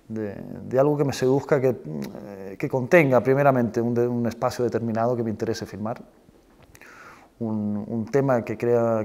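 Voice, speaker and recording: A man's voice: medium pitch, a little mellow. A man from Spain and Chile talking reflectively as a film director in an interview, searching for words and often ending phrases with a questioning tag. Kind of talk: reflective interview talk about own work.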